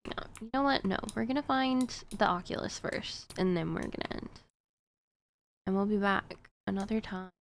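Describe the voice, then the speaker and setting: A woman's voice: medium pitch, moderate pace, creaky, slight background noise. A woman streamer giving casual commentary on her gameplay, talking to herself as she works through puzzles. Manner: muttering to herself while solving puzzles.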